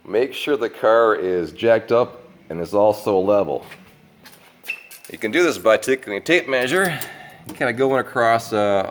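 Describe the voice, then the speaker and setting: A man working as a mechanic, explaining a repair step by step while congested from a slight cold. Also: fairly low pitch. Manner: plain, unhurried how-to explaining. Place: auto repair shop.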